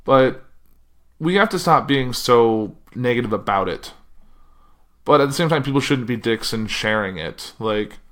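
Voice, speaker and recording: A man's voice: mid-range voice, animated, a little slow, fairly bright tone. Man rambling through a casual solo podcast. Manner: rambling, thinks aloud, trails off mid-sentence. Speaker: podcast host.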